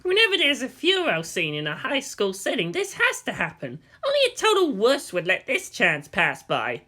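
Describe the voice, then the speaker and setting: A man voicing an excitable, nerdy, scheming teenage schoolboy with an eager, whiny manner. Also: high voice, normal speed, voice pushed up, full character voice.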